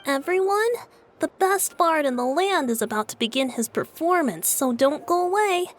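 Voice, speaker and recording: A woman acts out a boyish young bard in a fantasy video game. He has a high, lilting voice and a playful, theatrical way of teasing. Faint music sits behind the lines. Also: animated, moderate pace. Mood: showy. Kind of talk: video game fan dub.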